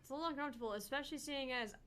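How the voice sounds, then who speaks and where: A woman's voice: high voice, racing, fairly bright tone. A woman co-hosting a gaming show, chatting casually about the game. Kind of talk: casual chat commentary.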